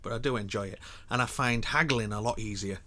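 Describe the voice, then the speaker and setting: A man's voice: on the low side, a little fast, pushed and bright. A man co-hosting a podcast, telling opinionated stories with the odd swear word and laughing easily. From England. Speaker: podcast co-host. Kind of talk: casual chat.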